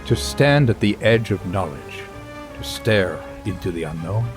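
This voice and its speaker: An actor playing a grave, brooding naturalist, narrating an audio drama in solemn, measured phrases with portentous pauses. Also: male voice, sits low, lively intonation, over loud music. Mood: wondering, resolute.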